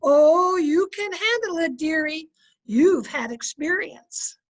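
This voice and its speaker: Woman acting a very high-pitched, teasing radio housewife. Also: expressive, slow, exaggerated voice. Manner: folksy, gently needling. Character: dry-witted, teasing, patient housewife and wife. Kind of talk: old-time radio comedy acting. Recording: home video-call recording.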